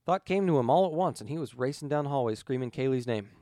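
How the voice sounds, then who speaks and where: A man's voice: mid-range voice, animated, quick pace, warm tone, no echo, clean studio sound. A man reading a scripted story aloud as an audiobook narrator. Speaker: fan fiction audiobook reader.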